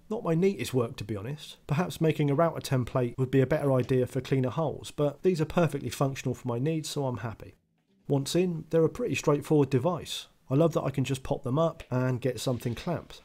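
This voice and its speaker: A man's voice: mid-range voice, darkish tone. A man giving a scripted voice-over tool review, matter-of-fact with dry, understated asides.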